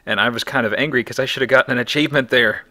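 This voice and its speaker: A man's voice: medium pitch, very fast, sharp tone. A man hosting a let's play with casual, wry game commentary. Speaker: gamer, let's play host.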